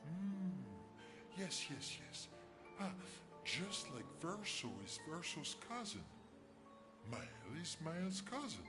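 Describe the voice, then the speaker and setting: Whimsical slow-talking creature, swooping pitch. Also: male voice, very animated, heavily acted. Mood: musing, delighted. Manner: naive, musing, blurts simple statements. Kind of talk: video game cutscene acting. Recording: loud music behind the voice.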